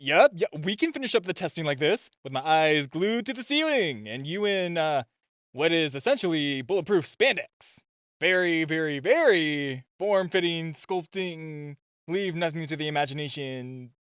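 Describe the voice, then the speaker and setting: A man's voice: a little high, a bit bright, no echo, studio quality. A man playing a super-shy spy gadget guy in a romantic comedy audio roleplay, nervous and stammering, easily flustered. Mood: flustered, babbling. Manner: nervous, stammering, halting, self-interrupting.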